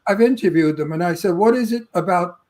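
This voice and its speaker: A brash, salty-talking man with a thick Brooklyn accent and a notably high voice. He co-hosts a chatty interview show and swaps stories freely. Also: fairly quick, a little mellow. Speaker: co-host. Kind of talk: casual chat.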